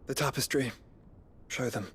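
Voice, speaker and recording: An actor playing a scholarly young nobleman in a fantasy game, speaking formal archaic lines in a measured, soft, courtly way. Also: mid-range voice, husky. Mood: grave.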